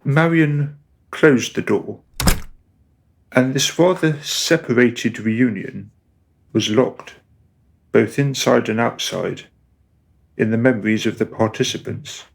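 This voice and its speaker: A man serves as narrator of a radio drama. His mid-pitched voice has a marked creak. He reads the script in a measured storyteller's manner.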